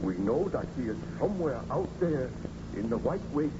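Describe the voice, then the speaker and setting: A man's voice: mid-range voice, very animated, moderate pace, close to an everyday voice. A man playing an anguished, haunted baron in formal, theatrical old radio drama style. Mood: weary, haunted. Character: anguished, recovering Baron, haunted and horrified by his creation. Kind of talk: 1930s radio acting. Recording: dull, noisy old radio recording.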